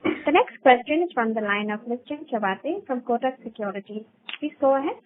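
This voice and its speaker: Higher-voiced female operator, noisy phone line. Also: lively intonation, brisk. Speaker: conference call operator. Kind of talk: formal scripted call moderation.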